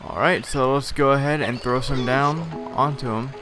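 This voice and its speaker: A slightly hoarse man giving casual, rambling commentary over a video game playthrough, with frequent filler words. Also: medium pitch, fairly even intonation, normal speed. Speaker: let's play host. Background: game music.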